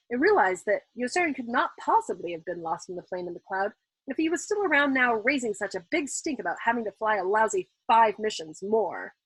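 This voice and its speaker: A woman reading a novel aloud in an expressive, dramatic audiobook style, as the host of a storytime. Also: a little high, slightly rough, dry recording, rough recording.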